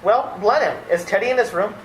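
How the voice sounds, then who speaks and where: A woman's voice: medium pitch, fairly quick, close to an everyday voice. A woman playing a harried, protective young nephew in an old-time radio play, flustered and insistent. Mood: exasperated, frantic. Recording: roomy, slightly noisy amateur recording.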